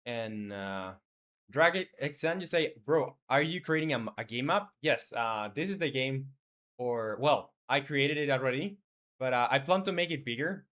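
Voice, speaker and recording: A man's voice: mid-range voice, lively intonation, moderate pace, fairly bright tone. Casual man chatting on stream. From Chile. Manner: informal, hesitant, reads viewer messages aloud and replies. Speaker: game developer live streamer. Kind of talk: casual livestream chat.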